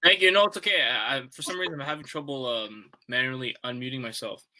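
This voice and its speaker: Bright-voiced young man on a video call. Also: mid-range voice, fast, poor audio. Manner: chatty, rambling, many likes and restarts. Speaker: student ambassador. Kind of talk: casual advice on a video call.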